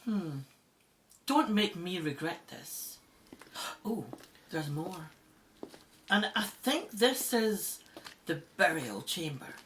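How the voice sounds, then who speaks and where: An actress in an audio drama playing a brisk, commanding Egyptologist who leads a dig and marvels at what she sees. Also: lowish voice, sing-song, fairly bright tone, a little hiss or hum, exaggerated voice. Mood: annoyed.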